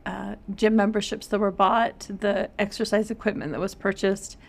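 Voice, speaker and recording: Middle-aged female co-host, counselor type, reflective and measured in casual chat. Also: medium pitch, little pitch movement, vocal fry.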